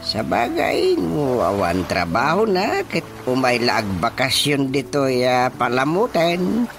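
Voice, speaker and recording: A man's voice: medium pitch, wildly swooping pitch, a little slow, gravelly, a bit bright, exaggerated voice. An actor with an Ilocano accent playing a sly, grumbling village chairman in a comic radio drama. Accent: Filipino, Ilocano. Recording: radio drama with music underneath.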